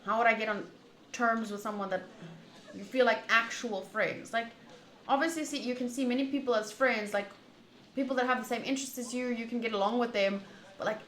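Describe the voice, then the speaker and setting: Bright-voiced woman streamer in an echoey room. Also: a little high, fairly even intonation, brisk. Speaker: streamer playing a visual novel. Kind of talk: casual playthrough commentary and reading game text aloud. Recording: roomy echo, faint background noise.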